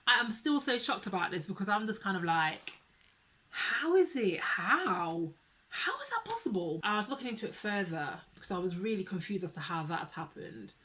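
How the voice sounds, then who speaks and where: A young woman chats casually to her viewers, her voice sitting at an ordinary mid pitch. She is earnest and animated, circling back over the same phrases to press her point home. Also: normal speed. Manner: earnest and expressive, repeats herself for emphasis. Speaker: vlogger. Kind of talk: casual vlog talk to camera.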